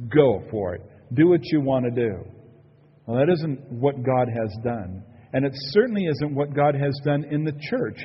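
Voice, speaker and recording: A man's voice: mid-range voice, slightly rough, big-room sound, muffled recording. A male pastor giving an earnest, conversational sermon, often saying "you know". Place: church sanctuary.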